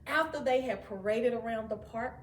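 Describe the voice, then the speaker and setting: A woman reading a children's story aloud in an expressive, deliberate way. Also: on the high side. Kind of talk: children's read-aloud narration. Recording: echoey room, distant mic, faint noise.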